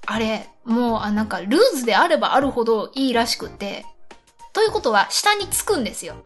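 Woman, high voice, animated intonation, casual podcast chat, chatty co-host. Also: a little fast, soft background music.

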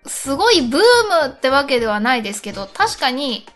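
A woman's voice: high voice, big pitch swings, a little fast, a bit bright, soft background music. A woman co-hosting a podcast in casual, chatty conversation, readily agreeing with her partner. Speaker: podcast co-host. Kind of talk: casual chat with a co-host.

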